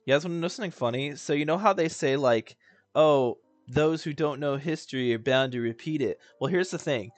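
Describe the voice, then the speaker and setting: A man's voice: a little high, fairly quick, no echo, faint music behind. A man doing casual, opinionated reaction commentary as a streamer, talking in a slangy, rambling way.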